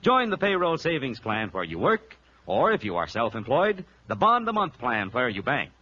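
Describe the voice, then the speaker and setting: A man's voice: medium pitch, wildly swooping pitch, normal speed. A man reading scripted 1940s radio announcements in a polished, persuasive salesman's manner. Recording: muffled, faintly noisy vintage radio recording.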